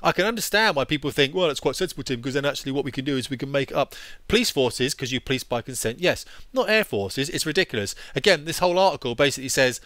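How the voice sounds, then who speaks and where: A man's voice: medium pitch, lively intonation, fast talker, a bit bright, dry recording. A man in his forties with a headset mic, ranting indignantly as he comments on articles. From United Kingdom. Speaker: YouTube commentator, former fighter pilot. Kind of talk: opinionated commentary over on-screen articles.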